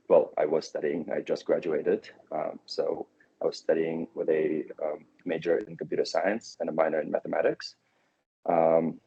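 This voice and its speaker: A man's voice: very deep voice, gravelly, dark tone, husky. A young man from Kazakhstan in his twenties, a recent graduate speaking casually on a video call with many fillers, on muffled call audio. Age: 20s. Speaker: guest speaker. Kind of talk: casual panel talk.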